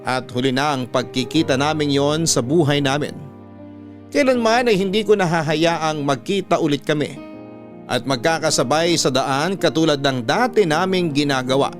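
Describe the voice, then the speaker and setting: A man's voice: a little high, expressive, moderate pace. A man narrating a personal story as a radio host, in a dramatic scripted style. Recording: music bed with guitar under narration. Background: guitar music.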